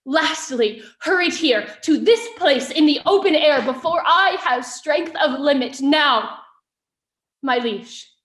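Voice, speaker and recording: Wronged Shakespearean queen played by a woman: grieving yet defiant, declamatory stage delivery, raised pitch, echoing room. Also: a bit bright. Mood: impassioned, defiant. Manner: declamatory, deliberate, impassioned. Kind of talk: Shakespearean stage monologue in heightened verse. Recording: roomy, echoing space.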